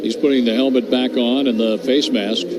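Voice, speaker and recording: Guy doing baseball play-by-play on the radio, even measured delivery, noisy audio. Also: medium pitch. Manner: steady measured delivery of stats and calls. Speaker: play-by-play announcer.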